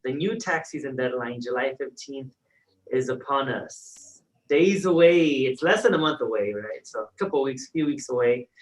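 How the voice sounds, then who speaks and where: A man's voice: medium pitch, animated, moderate pace, poor audio. A man hosting a casual video call chat, easygoing and chatty as he introduces guests and asks questions. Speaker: host.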